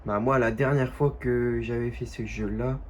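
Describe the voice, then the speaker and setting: A young man with a stuffy, nasal voice from a cold, chatting casually and haltingly over gameplay at home. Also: mid-range voice, fairly even intonation, a little mellow, slight background noise. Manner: halting, many fillers and restarts. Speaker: gaming youtuber.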